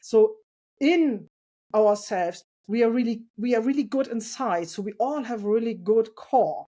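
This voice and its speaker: A woman talking as a podcast guest about parenting, earnest and reflective, with a loud voice and light laughs. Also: a little high, measured pace. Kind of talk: casual interview conversation.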